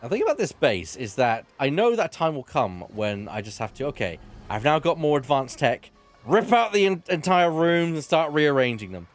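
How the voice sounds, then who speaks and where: A man hosting a charity game stream, chatting in a relaxed, rambling way with a soothing voice. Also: a little high, normal speed, soft background music. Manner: relaxed and rambling, with frequent restarts and "um". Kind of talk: casual chat while playing a game.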